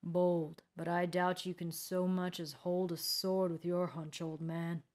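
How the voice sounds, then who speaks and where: An arrogant dark warrior from a fantasy audiobook, voiced by a woman. He taunts with cruel confidence and breaks into forceful shouts when crossed. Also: on the low side, flat delivery, a little slow, natural voice. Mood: mocking. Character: cruel, mocking armored male warrior with dark magic.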